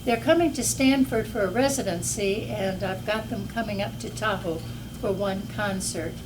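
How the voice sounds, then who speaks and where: A soft-spoken woman giving a community announcement about a music nonprofit. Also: mid-range voice, moderate pace. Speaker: nonprofit organizer. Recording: distant mic, noisy room.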